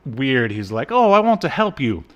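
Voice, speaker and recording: Male podcast co-host, thirties to forties. Mid-range pitch, casual recap style, wry and mocking. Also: sing-song, normal speed, a bit bright. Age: 30s to 40s. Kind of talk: casual chat recapping a cartoon.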